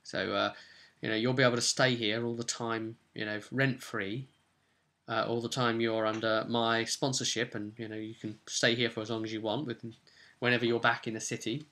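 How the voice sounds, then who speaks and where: A man's voice: medium pitch, flat delivery, normal speed, bright, forceful tone. A British man giving a chatty, rambling recap as a game master, telling the story casually with wry asides and loosely acted-out quotes. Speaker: game master recapping a tabletop role-playing session.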